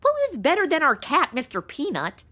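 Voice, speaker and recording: A woman's voice: high voice, sing-song, brisk, sharp tone, slight background noise, exaggerated voice. A woman playing a sassy, irreverent, blunt young temp in a comedy audio drama, sarcastic and slangy. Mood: indignant, playful.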